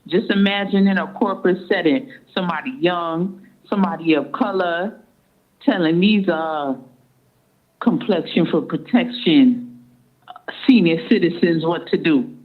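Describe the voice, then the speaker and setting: A woman calling in to a radio talk show, making deliberate, measured points. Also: fairly low pitch. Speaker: guest caller. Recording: tinny phone line.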